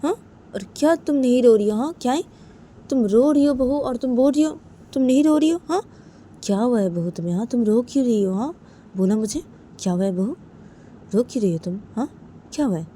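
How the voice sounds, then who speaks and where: A woman's voice: on the high side, lively intonation, fairly quick, long pauses, warm tone, a little hiss or hum, pitched above the performer's own voice, natural voice. A woman voicing a concerned aunt-in-law in a family story, persistently questioning and coaxing in repeated phrases. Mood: insistent. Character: concerned, nagging aunt-in-law, an older female relative.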